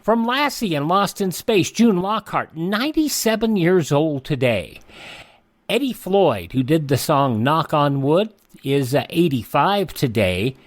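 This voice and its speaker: Brief: male radio host, fairly high voice, reads history notes dry and close-miked, corrects himself offhand. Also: big pitch swings, measured pace, no echo. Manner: self-correcting, offhand asides. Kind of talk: this-day-in-history rundown.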